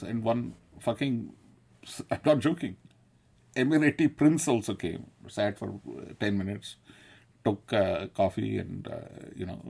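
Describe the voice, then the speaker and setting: A man's voice: medium pitch, vocal fry, a little mellow, breathy edge. A man from South India, talking in a casual, digressive monologue, laughing often and swearing. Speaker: video essayist. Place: London.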